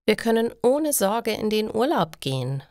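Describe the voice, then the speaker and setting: A woman's voice: mid-range voice, big pitch swings, normal speed, darkish tone, dry recording, clean studio sound, voice pushed up, lightly acted. A woman voicing a trusting, caring dog owner in a story, friendly and earnest. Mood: confident, caring.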